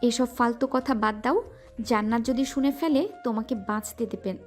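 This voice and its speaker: A woman's voice: a little high, moderate pace, darkish tone, lightly acted. An actress playing a shy young female student who scolds her suitor while secretly pleased. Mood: coy. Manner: coy, mock-scolding. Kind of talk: Bengali audio drama acting. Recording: music underneath.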